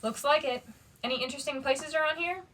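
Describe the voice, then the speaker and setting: A woman's voice: high voice, fairly quick, fairly bright tone, distant mic, a little hiss or hum, voice pushed up. A woman reading the part of a nervous teenage prince in hiding from a storybook, muttering, hesitant and a little defensive. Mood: guarded, muttering.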